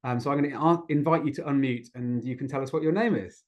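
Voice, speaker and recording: A man with a mid-pitched voice leads an online community discussion. His manner is encouraging and appreciative as he invites people to take part. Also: fast, darkish tone. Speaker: host of an online community gathering. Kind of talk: facilitating an online group discussion. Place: online video call.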